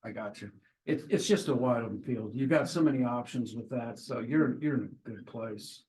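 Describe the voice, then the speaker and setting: A man's voice: medium pitch, moderate pace, darkish tone, slight background noise, rough recording. A middle-aged man, a marketing professor, chatting with students in a classroom in an encouraging way and often restarting his sentences. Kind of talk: classroom introductions.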